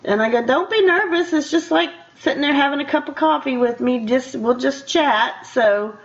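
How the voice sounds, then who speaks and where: Chatty older woman, higher voice, dull audio. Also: normal speed. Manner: chatty, laughs readily. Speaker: radio show host. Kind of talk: casual conversational interview. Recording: dull, lo-fi radio interview audio.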